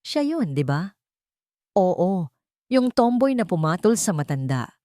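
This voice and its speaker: A woman's voice: on the low side, big pitch swings, measured pace, warm tone, dry recording, clean studio sound. A woman voicing spiteful, judgmental gossips in a story, with a scornful, catty manner.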